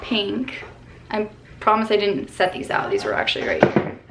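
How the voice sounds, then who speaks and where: A young woman in her twenties from Colorado answers questions in a relaxed, upbeat vlog chat, sprinkling in "like" and "um". She sits in her bedroom, speaking to a camera at desk distance. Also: medium pitch, moderate pace, background noise. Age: 20s. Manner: chatty, upbeat, lots of "like" and "um". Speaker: vlogger. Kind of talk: casual Q&A vlog.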